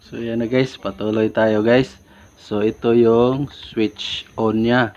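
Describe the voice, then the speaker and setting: A man's voice: medium pitch, level tone, slow. A man giving an informal hands-on tutorial, repeating himself and often addressing his viewers. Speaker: DIY electronics tutorial host. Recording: dull lo-fi recording with loud music. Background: music.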